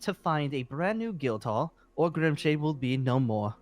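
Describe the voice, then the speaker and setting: A man's voice: a little high, lively intonation, measured pace. A man playing an eager, apologetic fantasy guild leader in improvised roleplay, talking in a hesitant, rambling way. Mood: apologetic, earnest. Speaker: roleplay performer. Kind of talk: improvised Minecraft roleplay dialogue. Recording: music under the voice.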